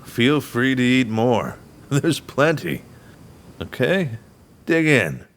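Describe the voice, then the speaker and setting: A man's voice: mid-range voice, very animated, a bit bright. A man playing a laid-back, genial crew member in an audio drama, speaking in an easygoing way. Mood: genial, calm. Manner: unhurried, easygoing. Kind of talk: audio drama dialogue and in-character promo.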